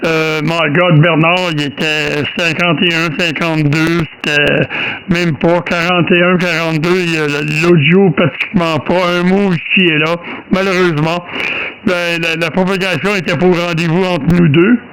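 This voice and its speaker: A man's voice: on the high side, fairly even intonation, moderate pace, fairly bright tone, clean tone, rough recording. A man chatting casually and enthusiastically as an amateur radio operator, often repeating himself. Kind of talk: casual radio contact chat.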